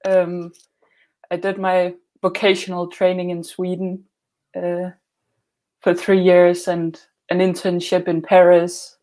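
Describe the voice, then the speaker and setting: Woman, Danish accent, unhurried and understated interview guest, rather low voice with little melodic movement. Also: darkish tone. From northern Denmark. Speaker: guest, glassblower and student. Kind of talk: hesitant conversational interview answers.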